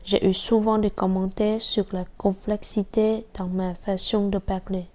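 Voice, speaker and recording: A woman gives a reflective personal voice-over in a calm, measured way. Her voice is mellow and quite even in pitch, and she takes her time over each phrase. Her phrasing is slightly formal. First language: Vietnamese.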